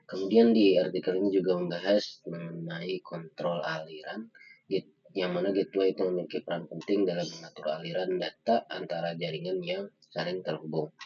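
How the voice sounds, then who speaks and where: A young man giving a halting student slide presentation, pausing often on fillers. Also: sits low, flat delivery. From Indonesia. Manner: halting, with frequent filler pauses. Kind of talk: slide presentation of an article review. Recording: dull lo-fi microphone sound.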